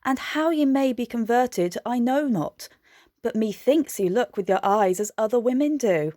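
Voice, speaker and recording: A woman plays a witty, teasing lady's maid in Shakespearean radio comedy. The voice is fairly high and playful, recorded very dry. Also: lively intonation, normal speed, mellow, dry recording, close to an everyday voice. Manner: playful, bantering. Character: saucy, teasing young lady's maid with quick wit.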